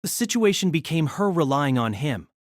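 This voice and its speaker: Animated woman narrating a novel. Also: sits low, moderate pace, dry recording, studio quality.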